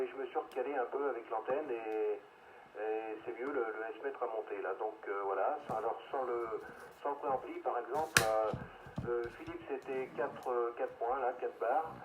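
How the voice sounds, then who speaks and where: A man's voice: mid-range voice, normal speed. Guy on ham radio, hesitant rambling chat, thin phone-like signal w some hiss. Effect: radio transmission. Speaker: amateur radio operator. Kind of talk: casual radio group chat. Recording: narrow phone-like radio link, static noise.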